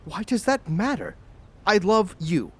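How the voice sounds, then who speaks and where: A man's voice: high voice, very animated, unhurried, a bit bright, breathy edge, full character voice. Man acting an anguished miser, wildly swinging voice. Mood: defensive, wounded. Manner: theatrical, pleading. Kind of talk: audio drama acting.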